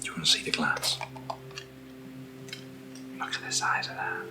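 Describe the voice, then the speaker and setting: A British man speaking softly in a breathy near-whisper, giving an informal, slightly hesitant ASMR product walkthrough as a keen enthusiast. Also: moderate pace, over music. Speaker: ASMR host. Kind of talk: soft-spoken ASMR product unboxing.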